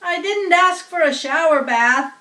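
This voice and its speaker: A woman acts out an excitable storybook farmer who hollers in a high, blustery voice. Words are stretched out loudly and ring in a roomy, echoing space. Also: a little slow, far from the mic, heavily acted. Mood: exasperated. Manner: hollering, exclamatory. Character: excitable, blustering male farmer, proud of his pig.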